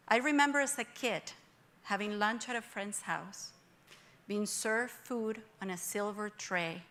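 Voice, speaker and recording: A woman's voice: medium pitch, a bit bright. A middle-aged woman from Venezuela with a Venezuelan Spanish accent, giving a reflective, earnest personal speech at a forum. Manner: reflective, earnest, measured pauses. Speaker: forum speaker. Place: conference room with audience.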